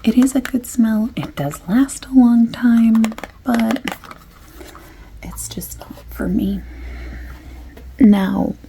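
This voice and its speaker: A woman speaks in a hushed, breathy ASMR style that often falls into whispering, with plenty of vocal fry. She takes her time, explaining items like a chatty shop assistant. Her pace is slow, over a faint hiss and small clicks. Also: fairly high pitch, poor audio. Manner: unhurried, chatty, overexplaining. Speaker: ASMR creator playing a perfume shop assistant. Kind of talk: soft-spoken ASMR roleplay with whispering. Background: light clicking and tapping of items.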